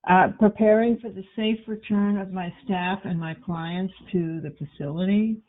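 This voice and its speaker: A woman's voice: mid-range voice, steady tone, measured pace. A woman moderating a webinar, reading out audience answers with hesitant fillers. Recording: tinny phone-like call line.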